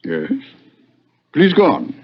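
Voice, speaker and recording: An actor playing a very fat, shady private detective with suave, courtly, formal manners in a 1960s radio mystery. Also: male voice, fairly high pitch, huge pitch swings, takes it slowly, creaky, breathy edge, heavily acted. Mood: probing. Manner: unctuous, courtly, formal phrasing. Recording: muffled vintage radio recording.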